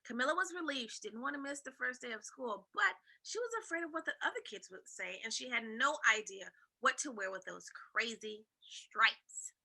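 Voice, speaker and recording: A woman reading a picture book aloud with dramatic, animated storytelling. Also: on the high side, normal speed, creaky, few pauses, low-quality recording. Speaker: co-reader at a school reading night. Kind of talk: expressive children's storybook read-aloud.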